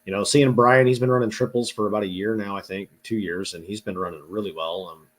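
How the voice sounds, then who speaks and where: A man's voice: lowish voice, steady tone, fast talker, a bit bright. A congested man hosting a casual online talk show, easygoing and full of fillers and restarts. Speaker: podcast host. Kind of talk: casual video-call chat.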